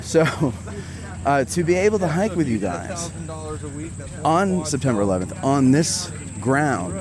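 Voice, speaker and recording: A man in his forties with a fairly high voice, chatting and joking at his own expense as he interviews people on a hike. He is recorded outdoors on a handheld recorder, with a crowd of walkers talking all around him. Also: very animated, measured pace. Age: 40s. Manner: chatty, self-deprecating, joking. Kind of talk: casual walking interview. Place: outdoors on a group hike along a road.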